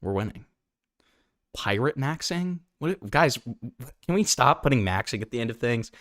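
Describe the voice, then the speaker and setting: A man's voice: mid-range voice, animated, normal speed, fairly bright tone, no room sound, clean studio sound. A young man hosting a livestream, rambling about his poll with lots of filler words. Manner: rambling, filler-heavy, jokey. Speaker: streamer host. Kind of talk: casual livestream chat.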